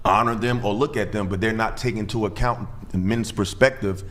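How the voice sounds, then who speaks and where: A man's voice: on the low side, fairly even intonation, brisk, a bit bright. A man in his thirties, a guest on a panel discussion, speaking emphatically. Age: 30s. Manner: emphatic, acts out quoted voices.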